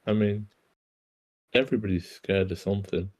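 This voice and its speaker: A man playing a direct, teasing teenage girl in a screenplay table read, with a husky voice. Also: lowish voice, steady tone, normal speed, lightly acted. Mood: teasing, probing.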